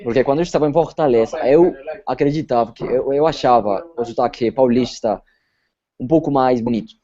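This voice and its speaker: A man's voice: medium pitch, brisk, crowd noise. A Colombian man with a Spanish accent, talking in an interview and often repeating words as he searches for his phrasing. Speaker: interview guest. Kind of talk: casual interview chat. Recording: slightly dull lo-fi sound.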